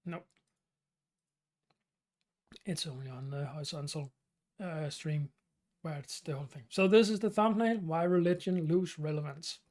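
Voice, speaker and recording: A man from Denmark with a Danish accent hosts his channel's live stream Q&A. He talks in a halting way, with many fillers and restarts. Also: fairly high pitch, a little mellow. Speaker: channel host.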